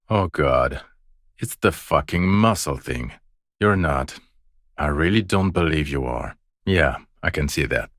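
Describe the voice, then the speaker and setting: An actor playing a world-weary, fed-up police detective in a video game, profane and sneering, with deadpan sarcasm. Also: male voice, low voice, no room sound, studio quality, full character voice.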